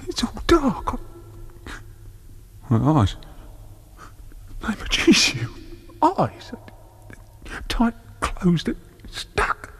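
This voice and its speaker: High, screaming, terrified trapped captive. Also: male voice, huge pitch swings, very slow, pauses often, a bit bright, full character voice. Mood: screaming panic. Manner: gasping, broken cries in short bursts. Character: trapped man with sewn-up eyes, frantic, terrified. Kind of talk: radio drama acting. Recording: faintly raspy old radio drama.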